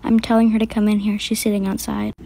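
A woman playing a soft-spoken, halting doctor. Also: mid-range voice, monotone, vocal fry. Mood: solemn, gentle. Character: hesitant hospital doctor, gentle and solemn. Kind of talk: homemade skit.

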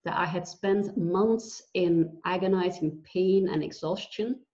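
A woman from Belgium in her forties, a guest telling her story with pauses and fillers over a video call from home. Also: lowish voice, dark tone. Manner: earnest, reflective, with many pauses and fillers. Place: bright room at home. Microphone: video call webcam. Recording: dull lo-fi video call audio.

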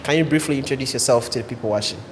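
A man's voice: mid-range voice, very fast. A man in his thirties with a Ghanaian accent, hosting a conversational interview show on a podcast microphone. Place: indoor co-working space. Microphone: podcast microphone on boom arm. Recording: echoey room, long reverb, faint noise.

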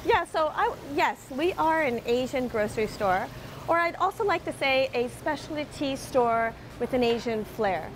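A woman's voice: high voice, lively intonation, measured pace, noisy background. A woman in her forties, a grocery chain executive, speaking earnestly and animatedly in a TV news interview inside a store.

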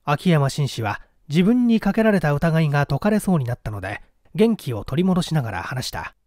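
A man's voice: fairly high pitch, big pitch swings, brisk, darkish tone, no echo, clean studio sound. A man narrating a mystery story from a script as an audiobook reader.

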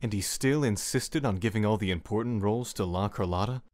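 An actor playing a brooding, reserved man in an audio drama, speaking in a measured, formal, terse way. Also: fairly low pitch, a little mellow, no room sound, clean studio sound, natural voice. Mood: probing, reserved.

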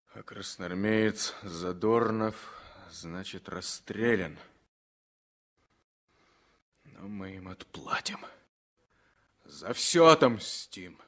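An actor playing a grave, resolute Red Army commander with a solemn, measured delivery in a 1960s radio play. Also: male voice, sits low, animated, pushed and bright, heavily acted. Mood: vengeful.

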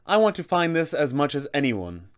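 A man's voice: fairly high pitch, big pitch swings. Man voicing a formal, haughty elven lich sorceress. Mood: formal, persuasive. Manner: formal, measured, theatrical.

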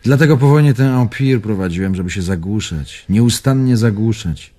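Actor as a husky, deep old bon vivant. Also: male voice, normal speed, warm tone, lightly acted. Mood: bitter. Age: older. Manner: theatrical, musing, swinging between murmur and outburst. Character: bitter old bon vivant, wounded, evasive former impresario. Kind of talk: radio drama acting.

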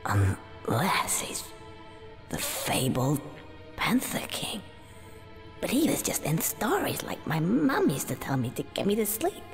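A man's voice: fairly high pitch, wildly swooping pitch, takes it slowly, vocal fry, heavily acted. An actor playing a flippant male red squirrel in a video game, rambling and sarcastic. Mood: nervous. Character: cocky male red squirrel, foul-mouthed antihero. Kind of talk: video game cutscene acting. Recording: reverberant with game music behind.

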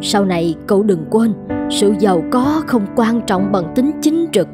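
An actress playing a kindly, brisk older woman who runs a noodle shop in a cartoon, plain-spoken and reassuring. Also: medium pitch, animated, lightly acted. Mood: firm, encouraging. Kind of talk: animated moral-tale voice acting. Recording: music under the voice.

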